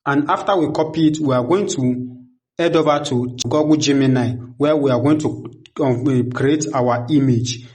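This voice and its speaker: A young adult man with a mid-pitched voice presents a step-by-step tutorial. He explains instructionally and often repeats himself, close to a desktop microphone. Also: moderate pace, a little mellow. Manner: repetitive, instructional. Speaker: tutorial host. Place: small indoor home studio. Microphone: desktop condenser microphone close in front.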